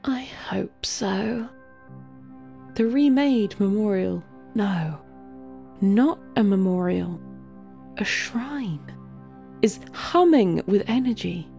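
Slow, mellow woman narrator with music. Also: mid-range voice, animated, vocal fry. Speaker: narrator of a fiction podcast. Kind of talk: scripted story narration.

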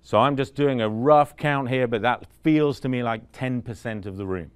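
A man's voice: mid-range voice, moderate pace, clear, resonant tone. A man in his forties giving a talk at a conference, speaking casually and often checking in with the audience with "right?". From Vancouver, Canada. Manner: conversational, asks the room questions, frequent "right?". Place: conference room in front of an audience.

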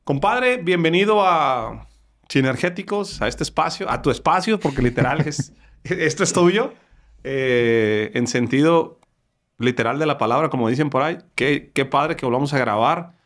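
A man in his thirties with a Mexican accent, hosting a podcast interview in a studio, talking with lively energy and frequent restarts. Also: mid-range voice, moderate pace. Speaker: podcast host. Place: studio with wood-paneled wall. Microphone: studio mic on boom arm.

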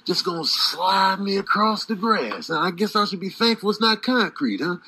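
A man's voice: high voice, expressive, moderate pace, sharp tone, breathy edge, full character voice. A man voicing an aggrieved alligator in a comic animal voice-over, grumbling and complaining. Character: aggrieved alligator hassled by police.

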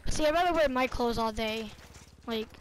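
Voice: medium pitch, normal speed, a little hiss or hum, rough recording. A young woman chatting casually in an improvised online game roleplay.

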